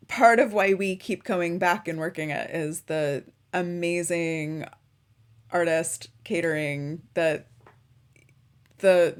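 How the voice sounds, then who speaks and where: Slow, unhurried woman interviewer. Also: lowish voice, clean tone. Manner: relaxed, agreeable, rambling. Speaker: interview host. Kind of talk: casual interview chat.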